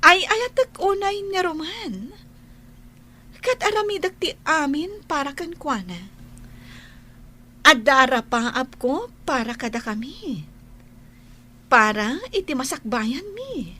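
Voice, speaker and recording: High-pitched gushing Ilocano bride-to-be. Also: female voice, animated, slow, long pauses, pushed and bright, heavily acted. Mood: eager, gushing. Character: Carla, gushing young bride-to-be boasting to her rival. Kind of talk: Ilocano radio drama acting.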